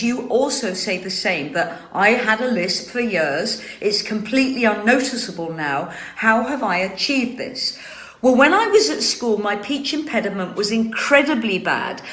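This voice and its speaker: A woman's voice: medium pitch, expressive, a little slow, fairly bright tone, roomy sound. A woman hosts a casual chat from home, answering viewer questions. She speaks with carefully trained, precise articulation. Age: 60s. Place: home room.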